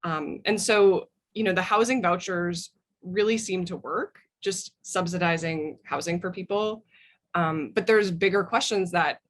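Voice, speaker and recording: A woman's voice: on the low side, fairly bright tone. An American woman speaking as an interview guest, giving thoughtful answers in an earnest, opinionated way. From United States. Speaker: guest, author.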